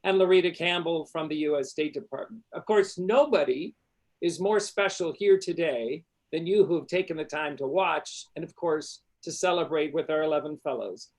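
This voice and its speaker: A man hosting an online graduation ceremony for a university journalism school, with a warm, upbeat, formal delivery. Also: fairly high pitch, moderate pace. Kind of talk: formal welcoming address.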